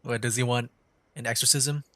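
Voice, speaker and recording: A man's voice: mid-range voice, steady tone, moderate pace, fairly bright tone. A man chatting casually as he commentates on a game for a livestream. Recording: game music in the background.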